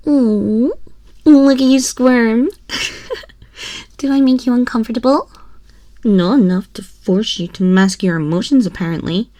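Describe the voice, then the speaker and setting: A woman acts out a small, haughty empress who toys with her listener. Her delivery is slow and drawn out, condescending and teasing, sometimes slipping into a breathy hush. It is an ASMR roleplay, recorded very close and dry. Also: a little high, no echo, natural voice. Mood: teasing, smug. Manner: imperious, slow, theatrical, teasing drawl with breathy whispers. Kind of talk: ASMR roleplay, scripted character monologue. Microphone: close binaural microphone.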